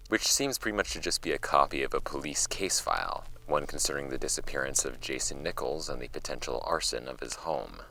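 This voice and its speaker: An actor playing a wry archive host, reading old case files aloud in a measured, conversational way for a scripted audio drama. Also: male voice, extremely low pitch, creaky, bright, forceful tone, exaggerated voice, low-quality recording. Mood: wry, matter-of-fact. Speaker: host of a fictional case-file podcast. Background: music under the voice.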